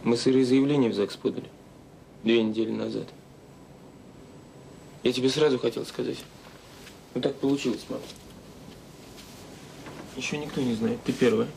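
Boyish young son played by an actor, faint hiss. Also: male voice, mid-range voice, fairly even intonation, normal speed, creaky, long pauses, a little mellow, natural voice, poor audio. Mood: earnest. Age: young adult. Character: young grown son, caring, questioning his mother. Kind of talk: naturalistic Soviet radio drama acting.

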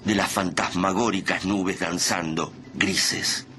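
A man with a deep voice narrating a scripted radio drama in the present tense. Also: fairly even intonation, slow pace, noisy background.

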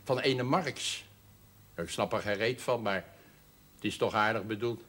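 An actor playing a gruff, irreverent working-class father with a blunt manner in a television drama. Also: on the low side, unhurried, fairly bright tone. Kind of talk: naturalistic television drama dialogue.

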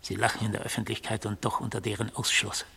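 A husky, creaky-voiced male defendant in a vintage radio drama. He speaks on the low side, laying out his testimony with obsessive precision. His manner is insistent and rhetorical. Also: flat delivery, quick pace, bright, forceful tone, heavily acted. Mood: fervent. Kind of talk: 1970s German radio play acting.

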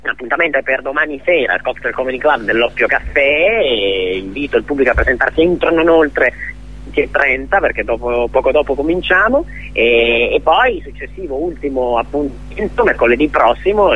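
A man speaking as a comic radio guest, husky, halting and mock-serious, over a phone link. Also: mid-range voice, animated, highs cut off. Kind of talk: satirical comic monologue, conversational delivery.

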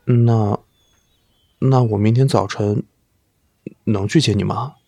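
A man's voice: lowish voice, fairly even intonation, slow pace, creaky, dark tone, no echo, heavily acted. Earnest, hesitant young male idol. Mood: eager, hesitant. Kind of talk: audio drama acting.

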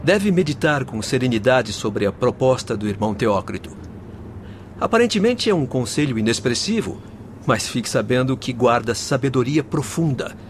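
A man's voice: medium pitch, lively intonation, moderate pace, lightly acted. Male actor, young male counsellor, Brazilian accent, earnest, solemn, exhorting, radio play with faint noise. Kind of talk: radio drama acting.

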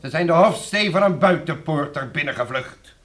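Bright, animated guild master. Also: male voice, a little high, moderate pace. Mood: earnest, reporting. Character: presiding guild master, earnest and exasperated. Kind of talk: radio play acting.

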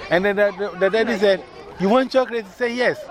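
A man's voice: high-pitched, fast talker. A man from Ghana, hosting a teasing street quiz and laughing often. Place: busy street outdoors. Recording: noisy outdoor crowd. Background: crowd chatter.

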